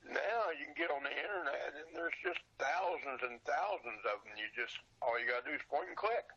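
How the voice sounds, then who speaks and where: An older man reminiscing casually as an interview guest, with a folksy, halting manner that often repeats how a phrase begins. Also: mid-range voice, animated, bright, forceful tone, slightly rough, highs cut off. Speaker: podcast guest, old radio show collector and seller.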